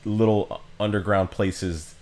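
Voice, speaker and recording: A man's voice: fairly low pitch, steady tone, slow, a little mellow. A man streaming a video game, giving casual, offhand commentary that loosely explains what is going on. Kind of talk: casual let's play commentary. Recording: voice over game music. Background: game music.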